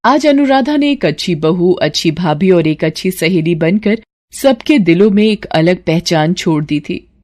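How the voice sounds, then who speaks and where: A woman's voice: lowish voice, expressive, unhurried, darkish tone. A woman reads a scripted story as a storyteller, narrating events and acting out the dialogue.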